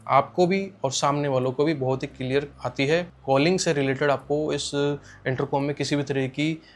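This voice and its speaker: Indian male reviewer, slightly raised pitch, casual and explanatory, restating key points. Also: steady tone, moderate pace. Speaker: product reviewer. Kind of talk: casual spoken product review.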